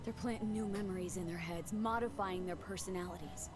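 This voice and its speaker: A tough young merc played by a woman with a low voice, talking in clipped, urgent bursts like a game heroine on the job. Streetwise and blunt, she comes through over noticeable background noise. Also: steady tone, brisk, lightly acted. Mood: focused, grim. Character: tough, streetwise young female mercenary investigating a conspiracy. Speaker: game character, protagonist V. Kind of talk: video game voice acting.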